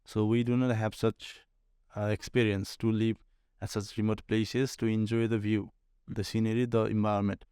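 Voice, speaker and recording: Male podcast guest, Nepali accent, low-pitched, explanatory, rephrases often, very dry room sound. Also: level tone, moderate pace, few pauses, warm tone, clear, resonant tone, no room sound. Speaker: air traffic controller, podcast guest. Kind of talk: conversational interview answers.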